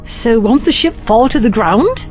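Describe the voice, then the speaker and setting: A woman's voice: a little high, lively intonation, measured pace, darkish tone, soft background music. A woman acting a time traveler's worried companion in an audio drama, pressing and questioning. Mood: questioning, uneasy. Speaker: actor.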